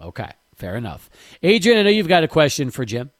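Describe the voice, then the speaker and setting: A man's voice: on the high side, huge pitch swings, fairly quick, no echo, clean studio sound. A man hosting a sports talk show, asking long, winding questions. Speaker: radio sports talk host. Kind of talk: interview questions.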